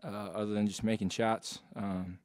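A young man answering questions as a college basketball player at a postgame press conference, in a laid-back, low-key way, into a table mic. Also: level tone, moderate pace, darkish tone, no room sound, clean studio sound. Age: young adult. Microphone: table mic on stand.

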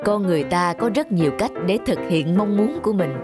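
A woman's voice: medium pitch, lively intonation, a little fast, natural voice. A woman voicing a kindly, sincere middle-aged male toy shop owner in a cartoon. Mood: reflective. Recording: loud music behind the voice.

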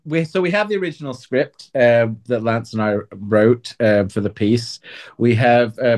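Halting man as interview guest. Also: on the low side, darkish tone. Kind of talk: conversational interview answer.

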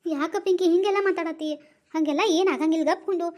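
A fretful mother, acted by a woman with a North Karnataka Kannada accent talks in very high, rapid bursts. She coaxes her daughter and is quick to defend herself in a family drama skit. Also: steady tone, a little mellow. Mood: coaxing. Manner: coaxing, defensive, rapid scolding.

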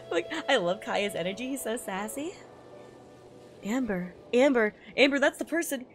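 A woman streamer doing an animated live reaction, exclaiming excitably and swearing freely. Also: fairly high pitch, slow pace, long pauses. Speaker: streamer reacting to and reading a manga aloud. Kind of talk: animated live reaction and dramatic read-aloud. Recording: music playing behind voice. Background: music.

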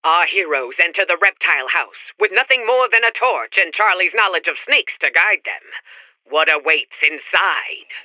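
An actor playing a dramatic, upbeat announcer, narrating an old-time radio serial in a theatrical, suspenseful way. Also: male voice, high voice, animated, moderate pace, sharp tone, dry recording, heavily acted.

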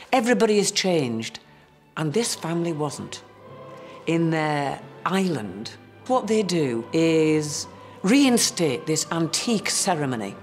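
A woman's voice: deep voice, a little mellow. A woman commenting in a documentary interview, emphatic and dramatic, stretching out key words.

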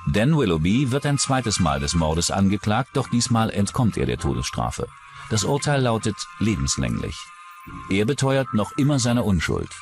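A low-voiced man narrates a true-crime documentary from a script. His delivery moves widely in pitch, and music plays clearly behind him. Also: sing-song, moderate pace.

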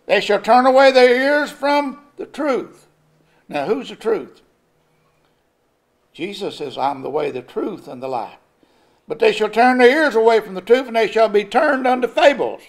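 High-voiced older male pastor preaching. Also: sing-song, unhurried, pauses often. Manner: preaching with rising emphasis and occasional chuckles. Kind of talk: sermon. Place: church sanctuary at a pulpit. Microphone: pulpit microphone.

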